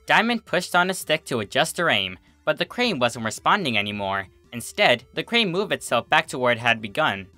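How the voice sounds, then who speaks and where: An expressive reader narrating a comedic story from a script, acting out the character's reactions over background music. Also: medium pitch, normal speed, few pauses.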